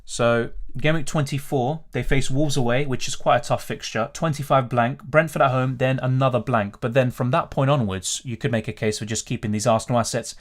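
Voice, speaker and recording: A man's voice: medium pitch, fairly even intonation, a little fast, hardly any pauses. A man from Argentina hosting a fantasy football livestream, casually reading out and answering viewer questions in a conversational, off-the-cuff way as he thinks aloud.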